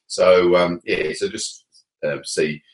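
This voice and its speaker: A man coaching table tennis in a casual, relaxed way, pausing often with fillers. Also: low voice, echoey room. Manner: relaxed, hesitant with many fillers.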